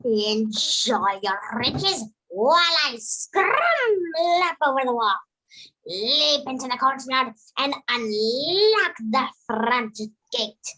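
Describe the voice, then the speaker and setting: A woman's voice: high-pitched, big pitch swings, sharp tone, full character voice, poor audio. A woman playing a spirited, cheeky animal companion in a theatrical folktale reading. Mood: taunting, fierce. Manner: slow, theatrical, exclamatory delivery.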